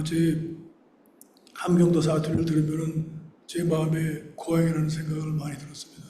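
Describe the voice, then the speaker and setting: A Korean-born pastor in his sixties delivers a sermon in a mellow, fairly even voice. He speaks slowly and deliberately, and his sentences sink quietly at their ends. The sound carries the reverberant echo of a church hall. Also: a little high, slight background noise. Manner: slow and deliberate in English, brisker in Korean; phrases trail off quietly at the ends.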